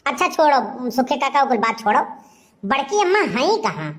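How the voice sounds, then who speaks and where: A woman's voice: sits high, very animated, normal speed. A woman voicing a cheeky, lovesick, idle young village man in a comic cartoon, whining and arguing in an Awadhi accent. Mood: teasing.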